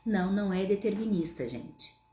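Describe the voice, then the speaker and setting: A woman's voice: medium pitch, animated, moderate pace, mellow, roomy sound. A woman with a Gaúcho Brazilian accent, hosting her own show, who talks through questions from her audience in a casual, explanatory way, often asking them rhetorical questions. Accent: Gaúcho Brazilian Portuguese. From Brazil, Rio Grande do Sul. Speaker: psychologist host answering audience questions.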